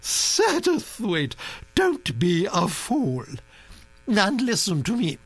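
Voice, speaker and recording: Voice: medium pitch, wildly swooping pitch, slow pace, husky, no room sound, pitched above the performer's own voice, full character voice. A reader voicing an imperious elderly duchess who talks in a commanding, theatrical way, for an audiobook. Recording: dry recording with mains hum.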